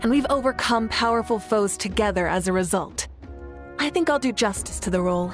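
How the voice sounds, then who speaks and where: A woman playing a composed, noble warrior leader in a video game, measured and assured. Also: mid-range voice, close to an everyday voice. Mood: resolute. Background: background music.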